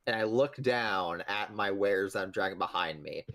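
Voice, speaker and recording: A man's voice: low-pitched, normal speed, a bit bright. A man playing a tabletop role-playing game, casually chatting and describing his character's moves. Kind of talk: casual role-play narration and chat.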